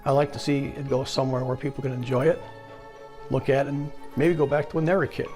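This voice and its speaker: A man's voice: mid-range voice, little pitch movement, quick pace, music in the background. A man in his fifties casually reminiscing as an interviewee. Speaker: interviewee, collector's son.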